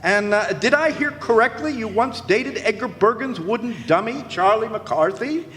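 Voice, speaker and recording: A man's voice: sits high, lively intonation, normal speed, a bit bright. A man playing a pompous, boozy old-time radio comedian host, with florid, mock-gallant talk. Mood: dry, needling. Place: stage in a hall. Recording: echoey hall, clear background noise.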